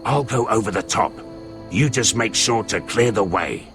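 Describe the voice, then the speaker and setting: An actor playing a blunt, foul-mouthed mercenary leader in a video game, with a gruff, commanding delivery and a gravelly voice. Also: male voice, fairly low pitch, lively intonation, moderate pace, natural voice. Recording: music behind the voice.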